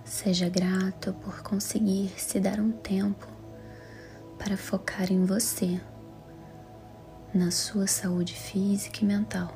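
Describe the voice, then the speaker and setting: A Brazilian Portuguese woman leads a guided meditation in a calm, soothing, measured way, her voice soft, breathy and half-whispered. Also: medium pitch. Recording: music under the voice. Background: music.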